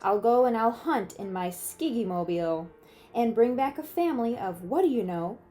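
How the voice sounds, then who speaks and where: A woman's voice: on the high side, lively intonation, normal speed, mellow, soft background music, close to an everyday voice. A woman voicing an eager, boastful young boy in a storybook, in singsong rhyming declarations.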